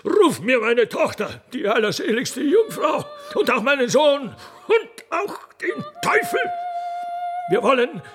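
A man's voice: very high-pitched, wildly swooping pitch, music in the background, pitched above the performer's own voice, heavily acted. A man voicing a decrepit, ancient God the Father, forgetful and given to wailing and blustering, in a theatrical radio play. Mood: enraged, despairing. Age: very old. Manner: drawn-out, lamenting, blustering.

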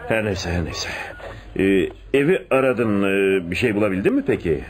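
An actor playing a shrewd, domineering police inspector in a radio play, questioning people insistently. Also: male voice, on the low side, lively intonation, normal speed, slight background noise, lightly acted. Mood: impatient, questioning. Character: shrewd, domineering male police inspector interrogating and giving orders. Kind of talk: radio theatre acting.

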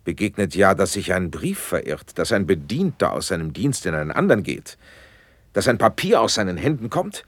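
Impatient prince, husky low voice, dry sound, stately verse declamation, classic radio play. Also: male voice, very animated, normal speed, no room sound, exaggerated voice. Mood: grave, critical. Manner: stately, elevated, rhetorical delivery. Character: impatient, commanding Renaissance prince, a brother.

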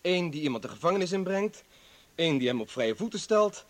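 An actor in a radio play playing a bitter, defiant doctor who was a political prisoner, arguing with sarcasm and indignation. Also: male voice, a little high, big pitch swings, fairly quick. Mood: bitter, sarcastic. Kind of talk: dramatic radio play acting.

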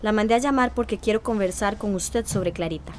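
An actress playing a calm, firm doctor with a Costa Rican accent, in a radio drama. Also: female voice, medium pitch, a little fast, slight background noise, close to an everyday voice. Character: calm, firm female doctor counseling a family.

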